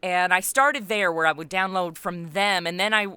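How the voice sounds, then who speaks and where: A middle-aged American woman talking casually as an interview guest about her creative work, animated and informal. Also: lowish voice, normal speed, smooth, no room sound. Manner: animated, informal, peppered with like and you know. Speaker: interview guest, comedy podcast writer and voice actor.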